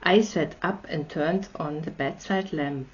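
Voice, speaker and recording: Austrian woman reading a story on her channel, german native, slow and chatty, bit muffled lo-fi sound. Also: sits low. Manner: slow, friendly, chatty asides. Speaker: channel host. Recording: slightly dull lo-fi audio.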